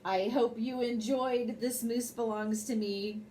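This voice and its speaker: Lady reading a kids bedtime book, takes it slow and expressive, bit of background noise. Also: a little high, low-quality recording. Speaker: storyteller reading a children's picture book. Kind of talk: bedtime story read aloud.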